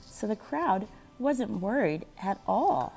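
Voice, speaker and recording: A woman reading a children's picture book aloud in an animated, measured storytelling manner with dramatic pauses, like a school librarian. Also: medium pitch, creaky, soft background music, low-quality recording.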